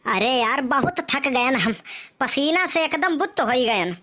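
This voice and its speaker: A man's voice: very high voice, expressive, a little slow, bright, forceful tone, full character voice. A man voicing a weary, hungry village wedding guest in a comic cartoon, plaintive, with an Awadhi accent. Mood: weary, pleading.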